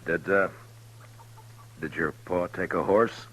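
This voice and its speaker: An American man playing a calm, dry frontier marshal in a 1950s radio western, measured and understated. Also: low voice, a little hiss or hum. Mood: calm, firm. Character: calm, dry frontier marshal narrating his own story. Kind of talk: 1950s radio western acting, hard-boiled narration. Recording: 1950s radio drama with music behind.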